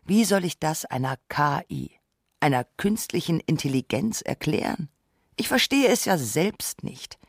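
A thoughtful, husky radio essayist. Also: female voice, low-pitched, animated, unhurried, no room sound, studio quality, heavily acted. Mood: fascinated. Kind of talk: scripted radio essay narration.

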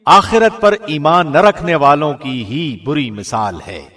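Higher-pitched man reading scripture translation formally. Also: fairly bright tone. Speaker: translation reader, radio presenter.

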